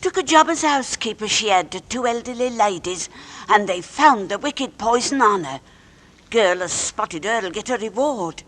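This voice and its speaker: A woman's voice: medium pitch, expressive, normal speed, a bit bright. A woman playing a chatty, deferential housemaid in a 1940s radio drama. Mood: excited gossip. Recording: vintage 1940s radio recording.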